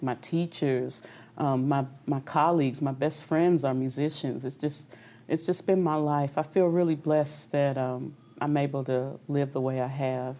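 A young woman from Kentucky, an opera singer being interviewed, talking in a relaxed, reflective way with easy laughs. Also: low-pitched. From Kentucky, United States. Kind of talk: conversational interview.

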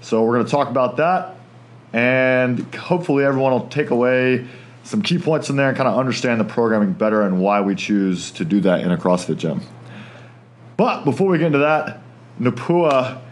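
A man's voice: mid-range voice, animated, moderate pace. Upbeat man hosting a fitness podcast. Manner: upbeat, friendly, laughs easily. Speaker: podcast host. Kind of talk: casual podcast chat.

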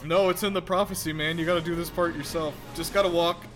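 Male reaction co-host, fairly high voice, casual sarcastic film commentary with music behind. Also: fairly even intonation, fast talker.

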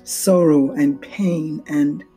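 A middle-aged woman hosting a solo podcast, speaking slowly and heavily with a low, barely audible voice. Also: steady tone, mellow, soft background music. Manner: slow, heavy-hearted and reflective. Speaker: podcast host.

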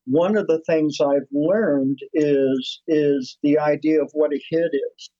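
A man's voice: a little high, fairly even intonation, slow pace, dark tone, dry recording, muffled recording. A man in his seventies, a musician and producer, telling his story in a casual interview with many restarts and fillers. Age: 70s.

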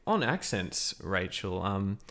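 A man hosting a podcast interview, asking questions in a halting way with many ums and restarts. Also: sits low, big pitch swings, fairly bright tone. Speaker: podcast host.

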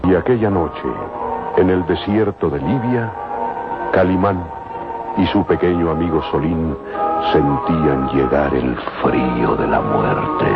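A man's voice: a bass voice, creaky. A man delivering dramatic scripted narration for a radio drama in a solemn, portentous manner. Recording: muffled old radio drama, loud music.

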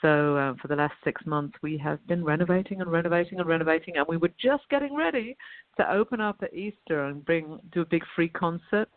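A woman's voice: fairly low pitch, fairly quick, no room sound. A woman with a British accent, a guest phoning in to a radio show, chatting upbeat and thinking aloud. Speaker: guest, venue owner. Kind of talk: phone-in radio interview. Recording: tinny phone line.

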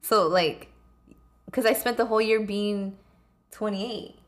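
A woman in her late twenties talks in loose, slangy podcast chat. She is playful and jokey, and she digs in hard when she argues a point. Her voice sits in a middle pitch for a woman. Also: fairly even intonation, takes it slowly. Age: 20s. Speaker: podcast co-host. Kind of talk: casual chat.

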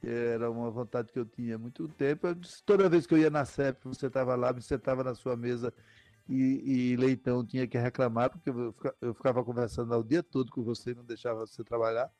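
A man's voice: mid-range voice, fairly even intonation, quick pace, dark tone, dry recording, faint music behind. An older man from Paraíba, Brazil, hosting a podcast interview in a chatty, rambling way. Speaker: podcast host. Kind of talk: casual interview chat.

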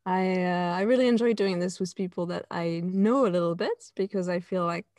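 A woman's voice: on the low side, brisk, darkish tone, dry recording, studio quality. A woman hosting an interview in a conversational way, with informal, tentative phrasing. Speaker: podcast host, interviewer.

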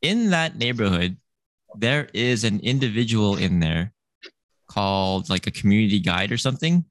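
A man's voice: lowish voice, lively intonation, normal speed, dry recording. A man hosting a casual chat among friends, rambling with many fillers. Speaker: podcast host.

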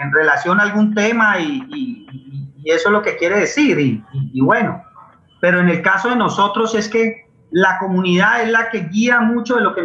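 A man's voice: high-pitched, pushed and bright. A Colombian man answering in a conversational interview, earnest and explanatory, often repeating words as he thinks. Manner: earnest, explanatory, hesitant repetitions. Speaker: interview guest, playwright and community theater maker. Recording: dull, echoey remote-sounding audio.

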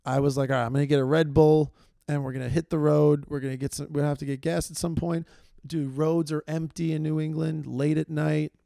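A man's voice: fairly high pitch, little pitch movement, a little fast, creaky, mellow, no room sound, studio quality. A young man hosting a solo comedy podcast, rambling and self-deprecating with lots of fillers and restarts. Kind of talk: casual solo comedy monologue. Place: small room, filmed on video.

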